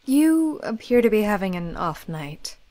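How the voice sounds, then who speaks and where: A woman voicing a young female necromancer in a visual novel, sincere and gently wry, with plain, thoughtful delivery. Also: medium pitch, very animated, unhurried. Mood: wry, curious. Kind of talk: visual novel character dialogue.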